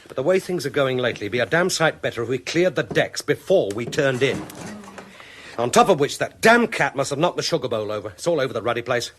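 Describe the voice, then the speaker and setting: An actor playing a wry, grumbling but affectionate British husband in a radio drama, with a husky voice. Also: male voice, medium pitch, lively intonation, quick pace, a bit bright, slight background noise, close to an everyday voice. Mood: exasperated. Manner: grumbling, mild swearing, British colloquial turns. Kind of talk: naturalistic radio drama acting.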